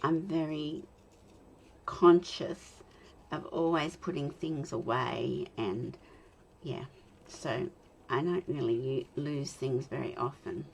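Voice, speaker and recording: An Australian woman in her fifties, chatting and talking to herself while she demonstrates card making, with a slightly croaky voice. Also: very deep voice, slow pace, a little hiss or hum, poor audio. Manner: rambling, self-talk with soft laughs. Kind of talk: chatty crafting demo.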